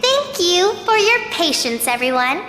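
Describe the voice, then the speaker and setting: A petite young student woman for an anime game. Her voice is extremely high and lively, with a bright edge. She comes across as sweet and earnest. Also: unhurried, big-room sound, faint music behind, heavily acted. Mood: bright, announcing. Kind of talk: anime game dub acting.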